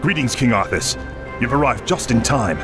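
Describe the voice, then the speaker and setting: A man's voice: mid-range voice, expressive, normal speed, natural voice. An actor playing a dutiful undead army servant in a fantasy game, reporting formally and urgently to his king. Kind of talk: fantasy video game acting. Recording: voice under loud music.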